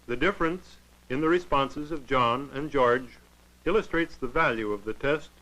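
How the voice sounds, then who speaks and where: A man narrating a 1940s educational film in a formal, clinical, measured way. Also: mid-range voice, pushed and bright, poor audio.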